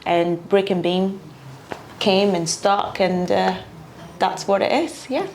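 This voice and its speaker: A woman's voice: mid-range voice, slow pace, darkish tone, slight background noise, rough recording. A woman in her thirties from Brazil with a Brazilian accent, chatting as an interview guest with frequent uh fillers. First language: Portuguese. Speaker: guest, property investor. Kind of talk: casual interview chat.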